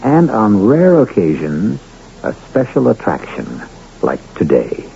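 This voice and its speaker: A male host narrates a radio mystery with deliberate, portentous phrasing. His voice is lowish and creaky, on a dull vintage broadcast recording. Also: wildly swooping pitch.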